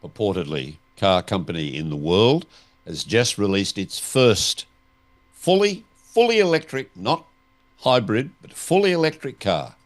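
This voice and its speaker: A man's voice: on the low side, big pitch swings, a little slow. An Australian man co-hosting a talk show in a casual, conversational style.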